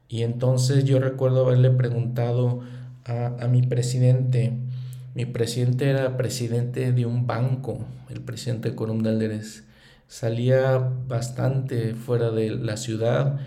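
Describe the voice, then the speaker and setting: A middle-aged man leads a thoughtful scripture lesson, earnest and unhurried, often circling back to restate a thought. His voice is warm and mellow. The recording sounds roomy, with noticeable echo around him. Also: medium pitch, level tone. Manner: earnest, thinking aloud, with fillers and restarts. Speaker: scripture study teacher. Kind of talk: reflective religious lesson.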